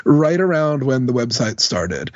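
A man's voice: mid-range voice, sing-song, a little slow, darkish tone, dull audio, slight background noise. A man on a podcast panel, chatting casually and reminiscing.

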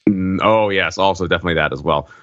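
Low-voiced man, dull dry recording. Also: quick pace, a bit bright, no room sound. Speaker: podcast co-host. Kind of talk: casual panel chat.

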